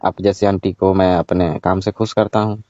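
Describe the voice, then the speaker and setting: A man's voice: low voice, little pitch movement, normal speed, dark tone, no echo, close to an everyday voice. A man playing a cheeky, money-minded village ice-cream seller in a staged phone-call skit, matter-of-fact, teasing and evasive. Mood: businesslike. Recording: dull, lo-fi call recording.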